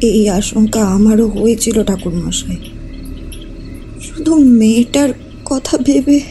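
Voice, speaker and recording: Distraught village mother, played by a woman; slow, shaking, tearful delivery, audio drama with noisy insect ambience. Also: fairly high pitch, warm tone. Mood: tearful, fearful. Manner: trembling. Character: distraught, grieving steward's wife and mother. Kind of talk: audio horror drama acting.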